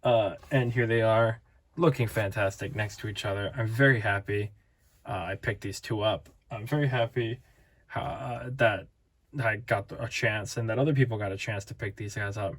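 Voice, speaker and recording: A man's voice: fairly low pitch, steady tone, moderate pace. Rambling tired man reviewing toys. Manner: rambling, playful, self-interrupting. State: tired, voice fading. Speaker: toy collector reviewer. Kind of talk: casual toy review.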